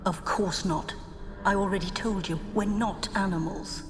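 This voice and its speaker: An actress voicing a proud, composed animal spirit in a video game, with measured, formal speech. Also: female voice, mid-range voice, steady tone, lightly acted. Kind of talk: dramatic video game acting. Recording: long reverb, music behind.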